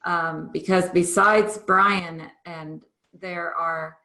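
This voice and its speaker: A woman hosting a conference call, introducing things informally in a halting way with frequent pauses. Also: on the low side, fairly even intonation, sharp tone, roomy sound. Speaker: host of a monthly conference call. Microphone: remote conference call.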